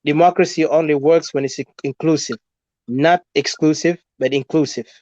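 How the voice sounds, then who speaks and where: A dry, muffled recording of a man with a slightly high voice. He speaks emphatically as co-host of a political discussion. He repeats phrases for stress. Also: steady tone, moderate pace, darkish tone, no room sound.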